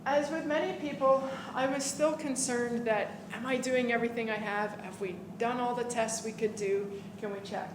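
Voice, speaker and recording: A woman giving a personal testimony to a live audience at an event, earnest and halting, before she introduces the main speaker. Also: fairly high pitch, steady tone. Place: indoor event hall. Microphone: stand microphone. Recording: echoey room with background noise.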